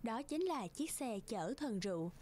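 High-voiced woman narrating a fairy tale. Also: sing-song, moderate pace, dark tone.

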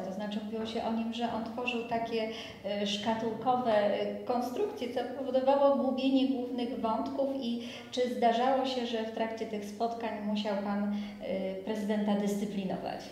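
Middle-aged woman interviewer, courteous and formal, slightly high voice, heavy room reverb. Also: little pitch movement, normal speed, warm tone. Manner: polite, formal, hesitant fillers. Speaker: interviewer, host. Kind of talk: studio interview questions. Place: small studio with backdrop, seated. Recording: echoey room with long reverb.

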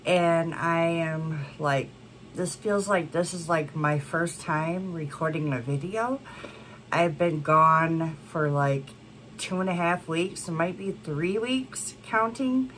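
Woman, 30s, congested, beauty vlogger, slow casual rambling, lower pitch. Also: fairly bright tone, a little hiss or hum. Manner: slow, rambling, many fillers. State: congested from a cold. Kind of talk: casual chat to camera. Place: home room with a plant wall and neon signs.

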